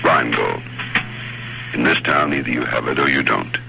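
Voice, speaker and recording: A man's voice: very deep voice, lively intonation, moderate pace, vocal fry. An American man reading a 1960s radio advertisement as its announcer, on a noisy old recording. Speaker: commercial announcer.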